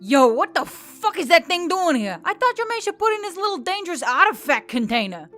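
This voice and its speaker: A woman's voice: very high-pitched, big pitch swings, fairly quick, fairly bright tone, pitched above the performer's own voice, heavily acted. A woman voicing a brash, sweary, alarmed female centaur in a fantasy story. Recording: background music under the voice.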